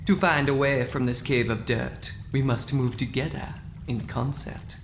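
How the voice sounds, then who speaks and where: A calm male zebra , played by a man, speaks in measured rhyme with a gravelly, creaking voice. He is an earnest audio drama peacemaker, heard over faint trickling water. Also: mid-range voice, slight background noise. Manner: measured rhyming speech.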